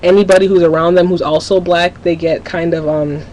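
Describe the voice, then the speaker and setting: A woman's voice: fairly low pitch, steady tone, a little fast, darkish tone, a little hiss or hum, rough recording. A teenage girl from Minnesota talking candidly to her webcam at home in a rambling vlog, often restarting her sentences. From Minnesota, USA. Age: teens. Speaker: vlogger. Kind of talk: casual confessional webcam vlog.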